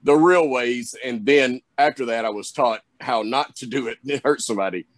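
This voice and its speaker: A young man talks with a thick Southern drawl, telling his story in a casual, rambling way and stopping often to restart. His voice sits at an ordinary middle pitch. The recording is dry, with no room sound at all. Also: normal speed, no echo. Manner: rambling, many restarts and "you know". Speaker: pro wrestler guest.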